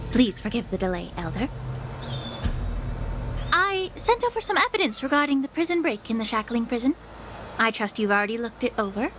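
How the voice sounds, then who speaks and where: A woman's voice: fairly high pitch, big pitch swings, fairly quick, pauses often, background noise. A woman voicing a poised, courteous and shrewd healer official in a video game, formal and composed. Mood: formal, polite. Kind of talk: scripted video game dialogue. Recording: game audio with music behind.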